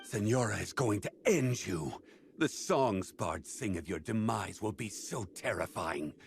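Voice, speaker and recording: Gravelly, menacing villain henchman. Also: on the low side, lively intonation, measured pace, slight background noise. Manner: threatening, boastful. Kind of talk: video game voice acting.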